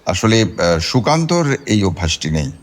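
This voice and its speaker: An actor playing a cooperative police officer who gives measured, pause-filled case briefings in an audio detective drama. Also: male voice, lowish voice, very animated. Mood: observant, deliberate. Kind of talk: Bengali radio detective drama acting.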